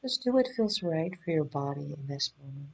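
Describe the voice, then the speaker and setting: A woman leading a guided meditation in a gentle, soothing, unhurried manner, leaving pauses between phrases. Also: deep voice, lively intonation, poor audio. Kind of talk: guided body scan meditation.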